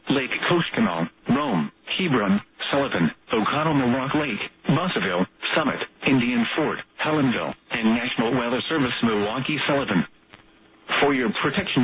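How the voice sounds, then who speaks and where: A synthetic-sounding man reading formal emergency weather alerts in a flat, measured way over a tinny radio broadcast. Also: medium pitch. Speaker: automated weather radio announcer.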